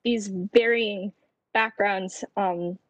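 A young woman co-hosting a podcast, speaking in a measured, casual way with frequent ums. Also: mid-range voice, fairly even intonation, no room sound. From Romania, raised in the United States. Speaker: podcast co-host.

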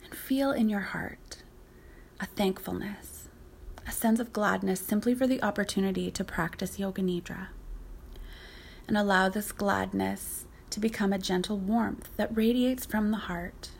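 A woman leading a guided yoga nidra meditation as the teacher, speaking softly and breathily, often close to a whisper, in a soothing, unhurried, gently instructive way. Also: medium pitch, steady tone, creaky, slight background noise.

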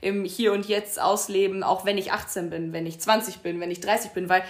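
A woman in her late twenties talks casually on a podcast. She is reflective and laughs easily. Her voice is middle-pitched and carries a noticeable room echo. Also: flat delivery, fairly quick. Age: 20s. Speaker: podcast co-host. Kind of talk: casual chat. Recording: roomy echo.

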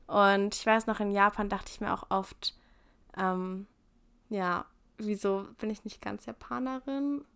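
A young woman from Germany, a guest in a casual interview, talking thoughtfully and candidly with soft laughs. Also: medium pitch, fairly even intonation, measured pace, gravelly, clean tone. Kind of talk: casual conversation.

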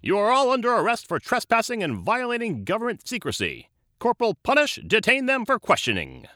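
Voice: medium pitch, wildly swooping pitch, a little fast, sharp tone, dry recording. An actor playing a short-tempered, barking Air Force officer in a comedy audio drama. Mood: bossy, irritated.

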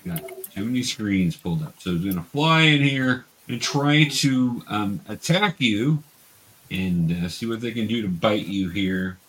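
A man's voice: on the low side, expressive, a little slow, fairly bright tone, breathy edge, poor audio. A man running a tabletop game for young beginners as game master, patiently explaining each step.

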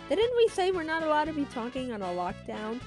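Young female storyteller as a bossy, hushing schoolgirl. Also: high voice, sing-song, brisk, over music. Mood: scolding. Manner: hushing, snippy. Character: scolding, hushing schoolgirl, bossy rule-keeper.

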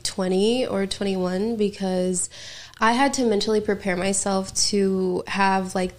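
A woman in her twenties from North Carolina, a guest on a podcast, talkative and enthusiastic. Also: mid-range voice. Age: 20s. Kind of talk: casual podcast chat.

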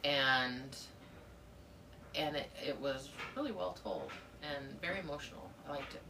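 A soft-spoken woman co-hosting a casual book club podcast, talking in a thoughtful way. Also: low voice, unhurried. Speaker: podcast co-host. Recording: distant mic with faint noise.

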